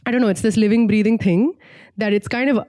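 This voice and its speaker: A woman's voice: fairly high pitch, quick pace, mellow, dry recording. A young woman from Singapore chatting casually and reflectively as a podcast co-host, in an informal way peppered with "like".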